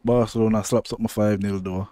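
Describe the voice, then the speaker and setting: Mellow, low-voiced Jamaican man chatting football. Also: fairly even intonation, fast talker, no room sound, clean studio sound. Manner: laid-back, rambling, loose patois phrasing. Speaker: podcast co-host. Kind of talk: casual football chat.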